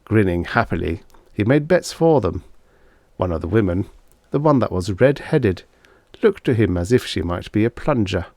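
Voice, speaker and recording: A man narrates fiction in a deep voice. His scripted reading is expressive and swings freely in pitch, storytelling line by line. The sound is dry, with very little room in it. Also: moderate pace, no echo. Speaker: audiobook narrator.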